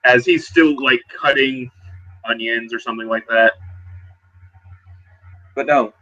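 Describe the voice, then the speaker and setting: A man with a very bright, forward voice at a middle pitch plays a tabletop role-playing game. He moves between narration and in-character talk in a halting, conversational way, on a dull lo-fi recording. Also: steady tone.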